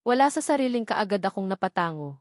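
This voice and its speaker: A woman's voice: mid-range voice, lively intonation, quick pace, no echo, studio quality. A woman with a Filipino accent reading a scripted first-person novel as an audiobook narrator.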